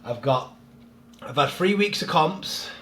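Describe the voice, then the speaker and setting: Man, relaxed podcast guest, slightly nasal from a cold, faint room noise. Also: on the high side, takes it slowly. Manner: relaxed, rambling, laughs easily. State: head cold, a bit nasal. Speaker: strongman coach. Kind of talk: casual podcast chat.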